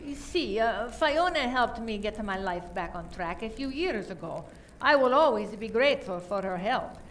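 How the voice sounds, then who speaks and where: An indignant, defensive female suspect, acted by a woman in an amateur radio mystery. Her voice is sharp and fairly high, swinging widely as she protests emphatically. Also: expressive, normal speed, distant mic, a little hiss or hum. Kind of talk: amateur radio play acting.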